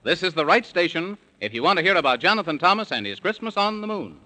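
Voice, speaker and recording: A man's voice: sits high, sing-song, quick pace. A man narrating a 1930s radio children's serial in rhyme, with a theatrical sing-song storytelling delivery.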